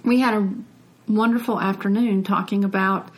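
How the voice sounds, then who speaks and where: Older woman author, medium-pitched voice. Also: steady tone, moderate pace. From North Carolina, United States. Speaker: author, interview guest. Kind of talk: conversational personal storytelling.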